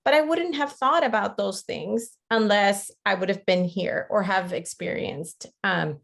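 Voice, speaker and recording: A woman's voice: medium pitch, unhurried, creaky. A woman presents an interactive online lecture in a conversational way, often checking in with her listeners. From Costa Rica. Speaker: webinar presenter, teacher educator.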